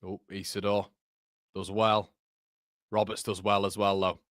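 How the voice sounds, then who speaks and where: A man with a Yorkshire accent gives loose, chatty running commentary on a live football watchalong, breaking off to answer viewers in the chat and laugh at his own jokes. His voice sits fairly low, recorded close and dry with no room sound. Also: little pitch movement, unhurried, fairly bright tone, dry recording.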